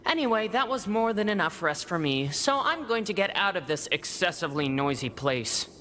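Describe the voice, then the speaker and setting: A bright, forceful voice for an arrogant, sardonic prisoner in a video game. The delivery is mocking and animated, swinging widely in pitch, with long reverb around it. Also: male voice, normal speed, heavily acted. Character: arrogant, bickering male prisoner, chronically sick researcher. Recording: long reverb, faint background noise.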